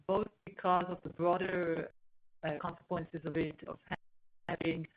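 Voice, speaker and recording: Halting woman on a dull call line. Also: on the low side, little pitch movement. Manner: halting, searching for words. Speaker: company executive. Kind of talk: earnings call answers, explanatory business talk. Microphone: conference call line.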